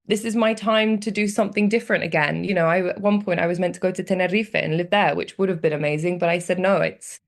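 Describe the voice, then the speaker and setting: Young British woman, podcast guest, slightly low voice, thoughtful storytelling with lively bursts. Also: fast talker, hardly any pauses. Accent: British English. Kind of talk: conversational podcast chat.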